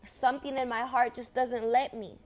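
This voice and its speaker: A woman's voice: on the high side, level tone, normal speed, soft background music. A young woman whose first language is Spanish, speaking in an impassioned personal monologue, earnest and repetitive with emphatic stresses.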